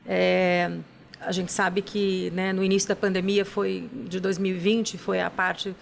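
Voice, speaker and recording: A woman's voice: lowish voice, flat delivery, a little slow, gravelly, slight background noise. A woman, a charity's executive director, talking at length as a guest in a TV studio interview, tagging her sentences with questions. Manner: enthusiastic, explains at length with many "né?" tags. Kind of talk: casual interview talk.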